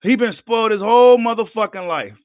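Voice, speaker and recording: A hoarse man who says he is losing his voice, ranting heatedly and profanely on a live boxing vlog. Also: sits high, a little fast, dry recording. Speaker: boxing commentator. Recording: tinny phone-like line, dry.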